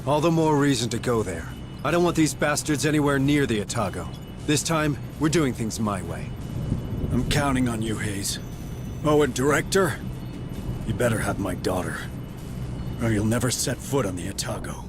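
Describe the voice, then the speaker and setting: A man's voice: medium pitch, lively intonation, normal speed, close to an everyday voice. A man playing a weary, determined marine sergeant in a video game, terse and impatient, with music behind him. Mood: angry, threatening. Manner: terse, hard-bitten, impatient. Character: gruff, weary, determined male marine sergeant and worried father. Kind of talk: cinematic video game acting.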